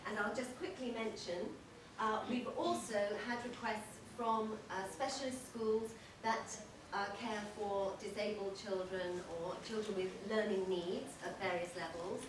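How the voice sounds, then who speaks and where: A woman giving a measured conference presentation, pausing often with small hesitations. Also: mid-range voice. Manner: measured, steady delivery with frequent hesitations. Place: large conference hall. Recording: echoey, noisy hall from far off.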